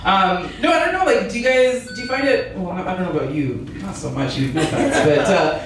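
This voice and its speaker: A woman's voice: lowish voice, animated, brisk, a crowd in the background. A young woman doing a stand-up comedy set in a barbershop, chatty, laughing often and restarting her sentences. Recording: echoey room from far off, noisy.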